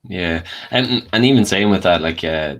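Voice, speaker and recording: Male panellist with a deep voice, talking through film opinions informally. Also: normal speed. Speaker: panel guest. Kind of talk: casual film debate.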